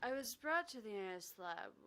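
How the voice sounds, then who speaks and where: A woman's voice: fairly high pitch, sing-song, moderate pace, bright, forceful tone. A woman streamer reading a visual novel aloud and chatting casually between the lines. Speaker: gaming streamer. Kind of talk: visual novel read-along with casual banter.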